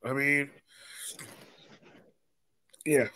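A man's voice: medium pitch, fairly even intonation, drawn-out words, bright, forceful tone. An American man in his thirties hosting a casual match-analysis podcast over a video call from a room at home. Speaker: sports podcast host. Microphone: video call microphone.

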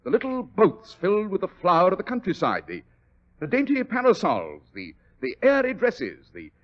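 An actor playing a brisk, teasing gentleman detective with a British accent, crisp, commanding and wry, in 1940s radio style. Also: high voice, huge pitch swings. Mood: airy, amused. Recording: dull, noisy old radio recording.

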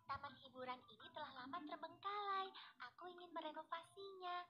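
High, eager cartoon girl. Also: fairly even intonation, brisk, sharp tone, a little hiss or hum. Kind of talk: cartoon game dubbing. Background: background game music.

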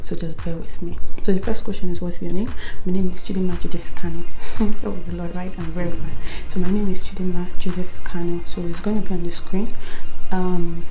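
A woman's voice: fairly low pitch, brisk, hardly any pauses, over music, rough recording. A woman in her twenties with a Nigerian accent, talking casually to camera in a get-to-know-me vlog, often adding "okay?" and "right?". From Imo State, Nigeria. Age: 20s. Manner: chatty, animated hand gestures, tag questions like "okay?" and "right?". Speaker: vlogger. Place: indoor room before a plain wall.

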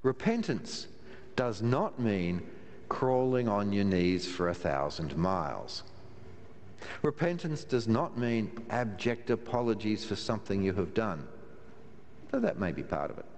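A man's voice: on the low side, sing-song, a little mellow. Older man preaching in a reverberant church. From Australia. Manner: measured, wry preaching with slow emphatic pauses. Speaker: minister. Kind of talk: sermon. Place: large church, from the pulpit. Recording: long church reverb, faint room hiss.

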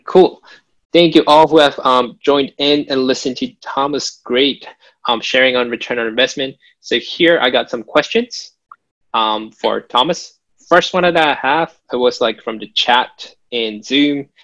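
A man's voice: mid-range voice, a little slow, fairly bright tone. A man hosting an online Q&A, casually reading out audience questions in a hesitant, informal way over a video call. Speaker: Q&A host. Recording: dull lo-fi video call audio.

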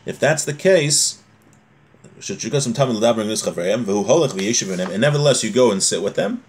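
A man's voice: mid-range voice, animated, very slow. A man in his forties teaching an informal religious lesson, reading aloud and explaining, often repeating and rephrasing. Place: small home room, indoors.

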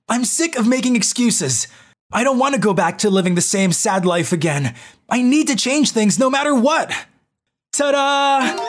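A woman voicing an anxious, self-pitying, socially awkward boy narrating his own life in an animated manga story. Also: on the low side. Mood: excited, determined, then upbeat. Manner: expressive, self-deprecating monologue with outbursts.

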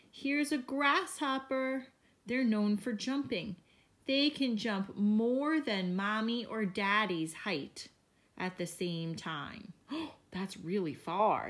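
A woman teacher reads a picture book to little children at an unhurried, patient pace. Her voice sits in a middle range, gentle and expressive. She drops into hushed whispers and small gasps of wonder as she goes. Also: medium pitch. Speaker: teacher reading to young children. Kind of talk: picture book read-aloud for young children.

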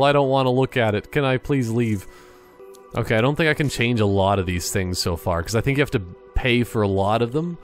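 A man giving casual, jokey gameplay commentary, thinking aloud and wandering off on tangents. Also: mid-range voice. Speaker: gaming YouTuber.

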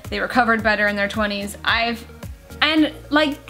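A woman's voice: on the high side, normal speed, sharp tone, soft background music. A woman in her twenties, a vlogger chatting earnestly on camera from her living room couch, with lots of fillers. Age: 20s. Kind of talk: casual couple chat on camera. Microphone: camera mic at a few feet.

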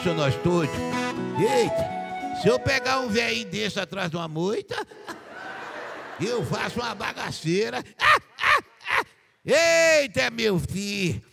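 Man putting on a high, gravelly old healer woman, cackling and chanting, slow drawl, hall reverb, audience and music behind. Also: very animated, exaggerated voice. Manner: chanting blessings, cackling, shouting exclamations. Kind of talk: live stage comedy character.

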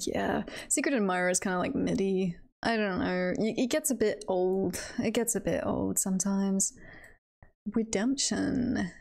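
A woman talks casually on a livestream in a mid-pitched voice with heavy creak. She takes her time, hesitating as she chats to her viewers and weighs up rankings. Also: measured pace, a little mellow. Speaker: streamer. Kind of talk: casual livestream chat, ranking tier lists.

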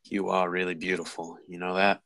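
A man playing a smooth, lecherous chief executive in an amateur table read, with an insinuating manner. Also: low voice, flat delivery, a little fast, sharp tone, no room sound. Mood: seductive.

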